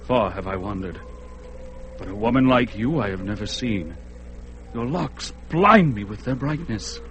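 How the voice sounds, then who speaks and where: A fierce barbarian warrior in an old radio play, with a bright, forceful tone. He talks in a stiff, archaic way. Faint hiss sits under the voice. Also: on the low side, lively intonation, measured pace. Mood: dazed, wondering. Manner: bold, loud, archaic speech. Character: Conan, fierce young barbarian warrior man. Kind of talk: classic radio drama acting.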